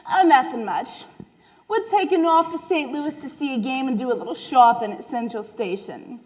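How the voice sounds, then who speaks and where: A woman's voice: sits high, animated, normal speed. Teen girl playing a casual pampered girl, breezy and offhand.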